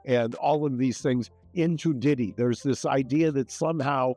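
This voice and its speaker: Male commentator, soft-edged mellow tone, middle pitch, halting conversational delivery. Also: no room sound, faint music behind. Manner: hesitant, searching for words.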